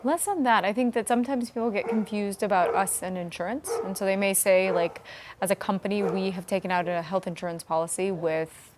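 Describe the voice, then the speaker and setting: A woman's voice: medium pitch, steady tone, a little fast, background noise. A woman answering interview questions as a company founder, in an informal, explanatory conversational manner. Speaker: startup founder being interviewed.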